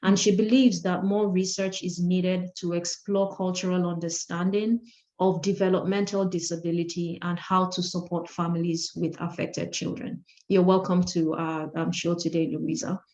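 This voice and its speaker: A woman co-host introducing guests in a measured, formal way, with an occasional "um.". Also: fairly low pitch, little pitch movement, warm tone. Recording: echoey, dull lo-fi audio.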